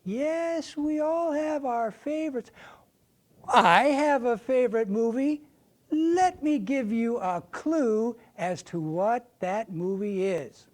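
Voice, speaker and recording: An older American woman presents a prepared talk in a fairly high voice. She speaks very slowly and deliberately, with theatrical, widely varied intonation, as a readers' theater member addressing an audience. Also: expressive, a little mellow. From suburban Ohio, United States. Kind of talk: prepared stage talk, personal reminiscence.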